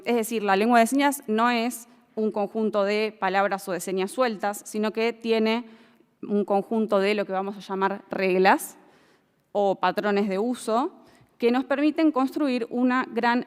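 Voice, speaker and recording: A woman's voice: medium pitch, clean tone, big-room sound. A woman gives a formal explanatory presentation, lecturing with many "eh" hesitations and checking in with the audience. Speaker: expert speaker presenting a bill.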